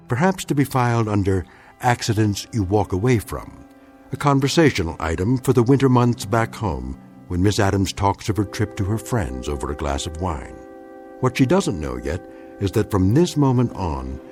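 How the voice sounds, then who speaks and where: A grave male narrator with a lowish, very expressive voice over faint music. He is measured and wry, ominously knowing, telling an eerie radio-play tale. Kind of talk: scripted radio drama narration.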